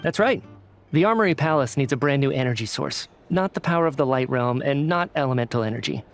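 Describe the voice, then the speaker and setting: Man voicing a weary, affable, lively-pitched researcher. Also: fast, soft background music, natural voice. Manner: polite, hesitant, sighing, expressive. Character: Mitya, weary, affable male government energy researcher. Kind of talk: video game voice acting.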